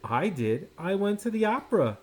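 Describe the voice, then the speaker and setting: A man's voice: high voice, big pitch swings, normal speed, breathy edge, voice pushed up, full character voice. A man acts out a kindly woman schoolteacher from a children's storybook. The voice is lifted and swoops freely, with a cheerful, encouraging classroom manner. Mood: pleasant. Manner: bright, encouraging classroom delivery.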